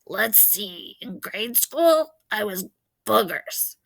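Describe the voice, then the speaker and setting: A woman's voice: mid-range voice, lively intonation, slow, gravelly, heavily acted, low-quality recording. A woman reading a storybook, playing a kindly, teasing old grandfather who gives folksy, wry advice. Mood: fondly reminiscing. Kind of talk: storybook read-aloud character voice.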